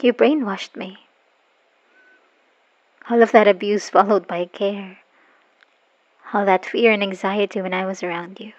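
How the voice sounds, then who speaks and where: A woman's voice: mid-range voice, fairly even intonation, close to an everyday voice. Muffled, wounded woman, acted, bitter and emotional. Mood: sad, resigned. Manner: emotional, halting, sighing, dropping into whispers. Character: wounded, bitter woman leaving her abusive, obsessive partner. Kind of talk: dramatic ASMR audio roleplay monologue, voicemail confession. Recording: dull lo-fi voice recording.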